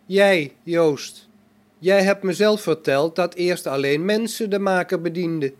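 A robot prophet, acted by a man for a science fiction radio play, with a slightly high voice. It reasons calmly and solemnly, utterly sure of itself and its faith. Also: a little slow. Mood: calm, self-assured. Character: self-assured male robot prophet, calm, devout and logical.

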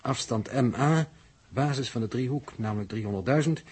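A man's voice: mid-range voice, moderate pace, a little mellow, husky, close to an everyday voice. An actor with a Netherlands Dutch accent playing a precise, slightly touchy spaceship navigator in a 1960s radio drama, measuring out his figures. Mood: precise, insistent. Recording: noisy 1960s radio recording, clicks.

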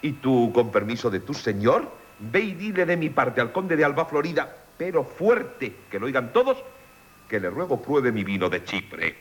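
An actor playing a vain, effusive marquis in love in Castilian Spanish radio theatre. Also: male voice, medium pitch, very animated, moderate pace, lightly acted. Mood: boastful, lovestruck. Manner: pompous, effusive, exclamatory. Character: boastful, gushing, jealous, vain marquis in love.

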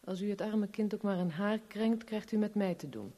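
A woman acting a protective, forthright letter writer in a 1970s Dutch radio play, with a soft, mellow voice. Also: medium pitch, moderate pace, slight background noise, lightly acted. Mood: measured, warning.

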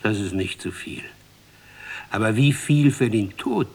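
A man's voice: lowish voice, animated, a little slow, gravelly, a little mellow. A man narrating a literary script in an ironic, rhetorical manner.

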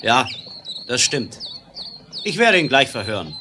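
A man's voice: mid-range voice, wildly swooping pitch, unhurried, background noise. An actor playing a stern, commanding western lawman in a radio play. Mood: insistent, sober. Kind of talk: German 1970s western radio play acting.

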